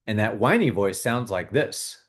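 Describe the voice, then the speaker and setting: A man hosting a video, calmly guiding viewers through a tapping exercise with repeated phrases. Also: fairly low pitch, normal speed. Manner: calm, repetitive, coaching tone. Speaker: host leading a tapping exercise. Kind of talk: guided tapping exercise and money talk.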